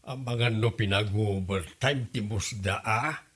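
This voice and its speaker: A man's voice: on the low side, takes it slowly, a bit bright. A man playing a placid older father in an audio comedy drama, speaking with an Ilocano Filipino accent. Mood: placating. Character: placid older father, excusing his son.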